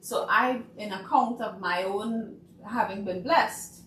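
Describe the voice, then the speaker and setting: A woman with a Trinidadian accent chatting as a guest on a talk show, lively and quick to mimic people. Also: mid-range voice, distant mic. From Trinidad and Tobago. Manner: animated, laughing, mimics people. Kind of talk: casual talk show chat. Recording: echoey room, voice from off-mic, faint noise.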